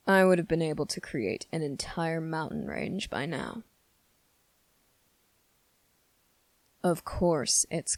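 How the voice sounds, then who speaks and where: A woman voicing a sardonic, condescending demon in an ASMR roleplay, speaking deliberately with drawn-out pauses. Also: on the low side, a little mellow, no room sound. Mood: scornful, dry. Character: sardonic, condescending demon summoned to make a deal. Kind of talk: ASMR roleplay, dramatic monologue to listener.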